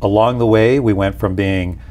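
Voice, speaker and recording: A man's voice: on the low side, steady tone, normal speed, dark tone, a little hiss or hum. A man in his forties, a company executive explaining things conversationally in an interview. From Peru. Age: 40s. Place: small indoor office lounge. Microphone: lapel mic.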